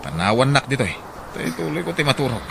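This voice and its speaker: A man's voice: medium pitch, very animated, quick pace, vocal fry, fairly bright tone, slight background noise. A man with an Ilocano accent playing a harsh, hot-tempered husband and father in a radio drama, scolding and emphatic. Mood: irritated, harsh.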